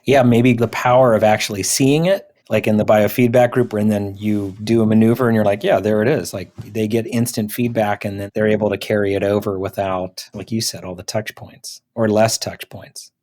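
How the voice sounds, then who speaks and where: A man's voice: on the low side, steady tone, normal speed, hardly any pauses, a little mellow. A man who is a physician hosting a medical podcast, talking conversationally with informal, affirming phrasing.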